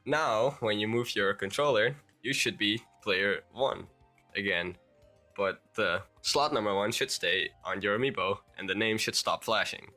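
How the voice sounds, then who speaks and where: Dutch-accented young man, bright voice. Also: fairly low pitch, unhurried, soft background music. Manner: rambling, hesitant with restarts. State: sick. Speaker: YouTuber. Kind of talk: game tutorial voice-over.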